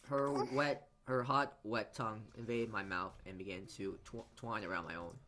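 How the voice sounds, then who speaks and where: A man with a fairly low voice narrates a visual novel for a let's play. He reads dramatically but haltingly, often tripping on words and starting them again. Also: fairly even intonation, sharp tone. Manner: halting reading, often stumbling and repeating words. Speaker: gamer reading a visual novel aloud.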